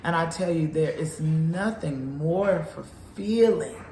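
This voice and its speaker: Slow earnest woman in her fifties. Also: sits low, mellow, roomy sound, a little hiss or hum, rough recording. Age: 50s. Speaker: counselor. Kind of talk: encouraging faith talk.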